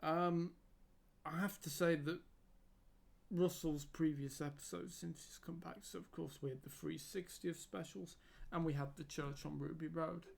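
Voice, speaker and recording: Man, casual reviewer, hoarse from losing his voice, slightly raised pitch, halting delivery full of fillers and repeats. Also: steady tone. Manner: hesitant, many fillers and repeated words. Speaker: YouTube reviewer.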